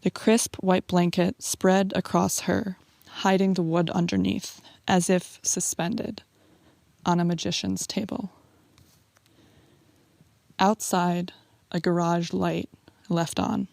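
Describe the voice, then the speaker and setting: A woman, an amateur poet, introducing and reading her work in a measured style. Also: lowish voice, flat delivery, creaky, a little mellow, no room sound.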